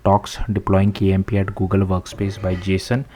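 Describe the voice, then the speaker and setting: A man's voice: low-pitched, completely flat delivery, moderate pace, warm tone, a little hiss or hum. A man reading tech news aloud in the manner of a channel host narrating a written article.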